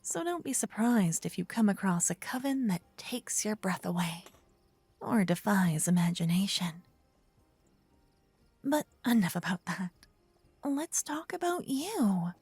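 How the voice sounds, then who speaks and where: A bashful, earnest young paladin acted by a woman speaks in a fantasy ASMR roleplay. Her voice is very soft, breathy and warm, with an unhurried, affectionate delivery. It is recorded close and dry, with light music behind it. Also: medium pitch, very animated, no echo, full character voice. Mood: friendly, curious. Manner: soft, breathy, gently flustered, slightly halting. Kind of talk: scripted ASMR roleplay addressed to the listener.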